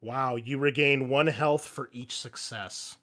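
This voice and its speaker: A man's voice: mid-range voice, fairly even intonation, takes it slowly, bright, forceful tone. A man speaking as a game master, casually explaining rules and narrating the action with some swearing. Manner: casual, profane.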